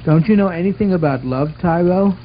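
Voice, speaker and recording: A man's voice: high voice, a little fast, natural voice. A man voicing an earnest, orderly twelve-year-old boy in a scripted puppet show, explaining things in a bossy, affectionate way. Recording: muffled amateur recording with watery noise.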